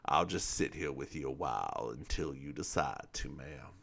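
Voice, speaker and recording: Man doing a hushed, gravelly Southern gentleman detective. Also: rumbling low, expressive, moderate pace, pitched below the performer's own voice, heavily acted. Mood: gentle, patient. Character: courtly, soothing Southern gentleman detective in a film retelling.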